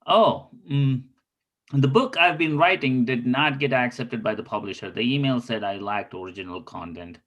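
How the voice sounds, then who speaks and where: A man's voice: medium pitch, normal speed, fairly bright tone, highs cut off, natural voice. A man in an amateur table read playing a pompous, genial detective and would-be writer with a theatrical manner. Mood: dejected. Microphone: video call.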